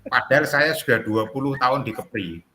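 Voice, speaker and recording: A man with a thick Javanese accent leads an online class over a video call, chatty and full of jokes. His voice is bright and pressed. A faint hiss of background noise sits under it. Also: medium pitch, normal speed. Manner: jokey, chuckling, chatty. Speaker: course facilitator. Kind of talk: joking online class chat.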